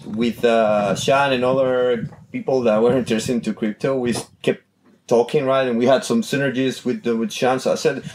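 A man's voice: mid-range voice, steady tone, moderate pace, a little mellow, slight background noise, low-quality recording. Hesitant man from Chile. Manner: hesitant, many restarts and fillers. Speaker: podcast guest. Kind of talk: casual interview talk.

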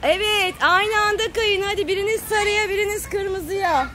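High-voiced woman vlogging outdoors. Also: moderate pace, sharp tone. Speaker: parent filming a family vlog. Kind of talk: casual chat to camera and children. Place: outdoor playground. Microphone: handheld phone camera. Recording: noisy outdoor phone recording.

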